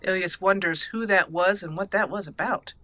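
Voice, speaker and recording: A woman's voice: mid-range voice, moderate pace, sharp tone, dry recording. A woman hosting a let's play, casually commentating and storytelling in a roleplay style.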